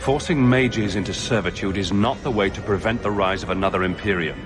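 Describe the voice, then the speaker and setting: Guy as a terse mercenary hero in a fantasy game, lowish voice, big reverb. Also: fairly quick, over music, lightly acted. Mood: businesslike. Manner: terse, direct. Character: pragmatic mercenary adventurer, direct and businesslike. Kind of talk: dramatic video game voice acting. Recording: reverberant hall, clear background noise.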